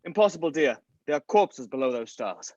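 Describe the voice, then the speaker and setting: A man's voice: a little high, expressive, a little fast, darkish tone, no room sound, lo-fi sound, natural voice. A man playing a cynical, condescending scientist with a foul mouth in a script table read. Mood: cool, mocking. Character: cynical, derisive scientist called the Thinker, contemptuous and callous.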